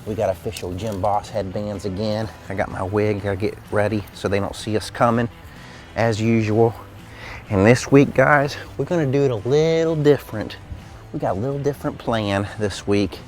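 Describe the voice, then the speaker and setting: Low-voiced man, playful, loud music behind. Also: normal speed. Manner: playful, instructing a helper. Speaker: host of a kids' gym class video. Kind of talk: casual chat while setting up a prank.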